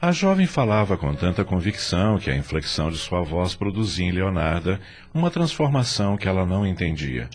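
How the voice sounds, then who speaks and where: A man's voice: low voice, very animated, a little fast, few pauses, a little hiss or hum. A man narrating an audio drama in a scripted, literary storytelling style.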